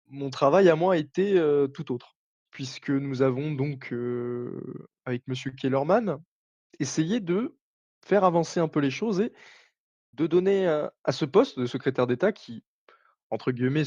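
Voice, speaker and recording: A man voices a former navy commander who went into state politics. He speaks formally yet haltingly, stopping often to gather his words. The recording is muffled and completely dry. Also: medium pitch, a little mellow, no room sound, close to an everyday voice. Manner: formal, hesitant, frequent filler sounds and restarts. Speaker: interview guest. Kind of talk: roleplay interview. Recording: dull dry sound.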